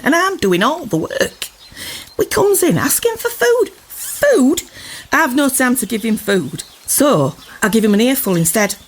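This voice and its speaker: A woman's voice: on the high side, husky. A woman playing an indignant, gossipy scolding wife and mother in old-time York, with a Yorkshire accent, in a comic audio drama. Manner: outraged, gossipy, emphatic complaining.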